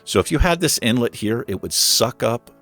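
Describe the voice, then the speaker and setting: A man casually commenting on his city-building game while he plays, thinking aloud with lots of fillers, his voice going hoarse. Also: medium pitch, animated, normal speed, a bit bright, faint music behind. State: losing his voice. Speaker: gaming channel host.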